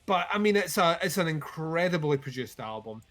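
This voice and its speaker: Dude going on and on about albums, lots of ums and f-words, bit high pitched. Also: male voice, lively intonation, a little fast, a bit bright. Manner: rambling, many fillers and restarts, swears freely. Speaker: co-host. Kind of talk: casual chat about metal albums.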